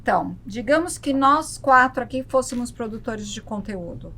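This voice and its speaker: A woman's voice: a little high, animated, moderate pace, a crowd in the background. A Brazilian woman explaining things casually as a podcast guest, repeating words for emphasis. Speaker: podcast guest, travel content creator.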